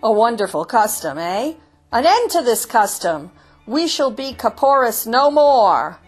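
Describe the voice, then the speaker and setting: A woman acts out a defiant storybook rooster, a fiery orator urging on his flock. The voice is husky and swings upward with commanding force, over music. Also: on the high side, sing-song, unhurried, full character voice. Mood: bitter, defiant. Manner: urgent, exhorting, commanding. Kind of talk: storybook character voice.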